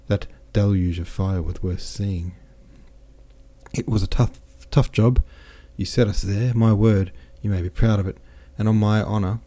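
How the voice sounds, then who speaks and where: Guy reading a cheery bragging french captain, loud and exclamatory, deep dark voice. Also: slightly rough. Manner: chatty, exclamatory, swaggering. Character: jovial boastful male French army captain.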